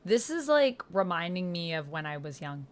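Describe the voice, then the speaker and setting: Low-pitched woman streaming casually. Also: big pitch swings, moderate pace. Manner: talks to self, reacts aloud, murmurs while reading and typing. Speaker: livestream host. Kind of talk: casual livestream chat while playing a text roleplay game. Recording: background noise with keyboard typing. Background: computer keyboard typing.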